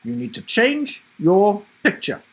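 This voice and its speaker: Storybook schoolmistress, played by a man: stern, prim, bossy, pitched high with dramatic swoops. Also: expressive, slow, creaky, full character voice. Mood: stern, insistent. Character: stern, easily shocked woman schoolteacher. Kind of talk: children's storybook character voice.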